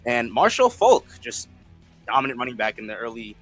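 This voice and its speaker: A man's voice: mid-range voice, sing-song, brisk, soft background music. A man on a sports panel who talks casually and repeats phrases as he builds his point.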